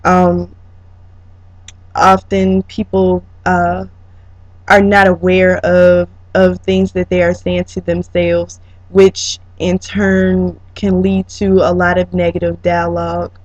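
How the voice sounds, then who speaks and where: A student presenter giving a prepared, reflective slide presentation, speaking haltingly with drawn-out pauses in mid-sentence. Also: medium pitch, monotone. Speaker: student presenter, educator training as a counselor. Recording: dull lo-fi sound with room echo.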